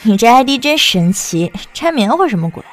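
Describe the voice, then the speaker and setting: A woman's voice: fairly high pitch, big pitch swings, fairly quick, soft background music. A woman playing a bubbly, teasing, gossipy young best friend in an audiobook drama, chatty and full of exclamations. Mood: excited.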